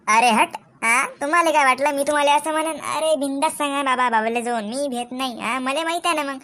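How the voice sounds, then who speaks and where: A woman's voice: sits high, a little fast, fairly bright tone, a little hiss or hum. A woman voicing a cheeky, lazy schoolboy in a comic cartoon, with a Vidarbha Marathi accent and impudent, wheedling backtalk. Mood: taunting.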